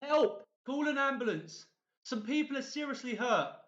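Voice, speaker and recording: Casting: panicked young man acted by a man, extremely high strained pitch, desperate cries, audiobook drama, roomy muffled sound. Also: expressive, normal speed, fairly bright tone. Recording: muffled, echoey home recording.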